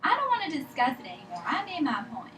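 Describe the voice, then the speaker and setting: A woman's voice: sits high, huge pitch swings, fast, a bit bright, full character voice. A woman acting a stubborn, evasive, sick woman patient in a drama table read, argumentative and rambling. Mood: exasperated. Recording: echoey room, distant mic, faint noise.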